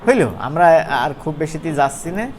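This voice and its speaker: A man's voice: fairly high pitch, expressive, a little fast. Bangladeshi man teaching casually, noisy recording. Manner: conversational, playful, often checking with listeners. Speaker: animation instructor. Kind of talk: informal screen-share software tutorial.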